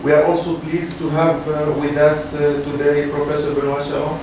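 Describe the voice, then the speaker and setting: Echoey, flat-toned man moderating an event. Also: fairly high pitch. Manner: halting, hesitant delivery. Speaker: moderator introducing guest speakers. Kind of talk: unscripted event introduction. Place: university room. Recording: echoey room, distant mic, noisy.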